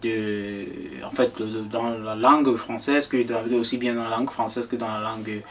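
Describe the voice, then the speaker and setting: Young guy from cameroon, halting, lots of euh, pretty monotone, sounds far off the mic. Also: mid-range voice, slight background noise. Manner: hesitant, many fillers and restarts. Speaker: guest author. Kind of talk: casual interview answers. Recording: distant-sounding voice.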